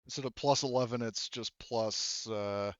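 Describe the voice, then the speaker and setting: A man's voice: mid-range voice, steady tone, moderate pace, dry recording, highs cut off. A man speaking casually and informally as game master of a tabletop roleplaying game.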